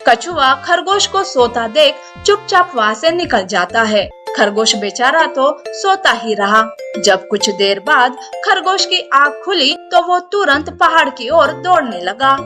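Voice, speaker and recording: A woman narrates a children's moral story from a script. Her voice is very high and her pace is slow, with faint music behind her. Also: sharp tone.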